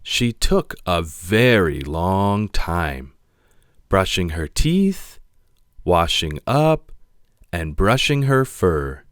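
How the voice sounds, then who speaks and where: A man reading a children's picture book aloud in an expressive narrating style. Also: deep voice, drawn-out words, dry recording, studio quality. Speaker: storybook reader.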